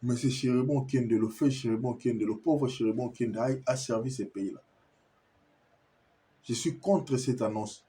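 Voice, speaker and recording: Insistent Congolese man, mellow voice. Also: medium pitch, normal speed. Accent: Congolese French. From Kinshasa, Congo. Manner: insistent, repetitive, stammers when searching for words. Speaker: commentator addressing viewers. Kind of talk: impassioned video monologue.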